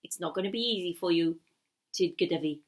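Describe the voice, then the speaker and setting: A woman voicing a gruff, scheming, bad-tempered male wizard in a bilingual Welsh and English story, with a taunting manner. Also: fairly low pitch, fairly quick, pitched below the performer's own voice, natural voice. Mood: gloating.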